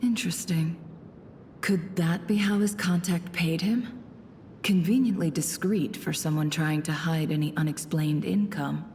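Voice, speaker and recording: A woman's voice: on the low side, a little slow, darkish tone, a little hiss or hum. A woman playing a shrewd, composed traveler in a video game, speaking politely and with calculation. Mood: curious, polite. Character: shrewd woman traveler posing as antiques smuggler. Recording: music under the voice.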